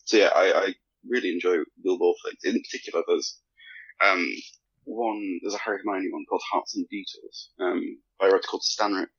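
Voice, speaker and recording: Low, gravelly, husky man chatting. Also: steady tone, brisk, a bit bright, no room sound, dull audio. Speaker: podcast guest, fan fiction author. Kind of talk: casual discussion.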